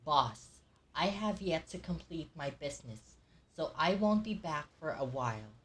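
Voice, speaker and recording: Animated, giggly Filipino woman streamer. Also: sits low, moderate pace. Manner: giggly, exclamatory, reactive. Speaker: game streamer. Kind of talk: casual livestream game commentary with read-alouds. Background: game music.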